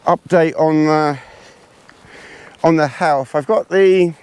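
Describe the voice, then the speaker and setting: Hoarse, slow-talking man on a hike. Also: on the high side, a little mellow. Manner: rambling, self-deprecating, chatty. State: hoarse and congested. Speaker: vlogger. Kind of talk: casual chat while hiking. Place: outdoors on windy hills.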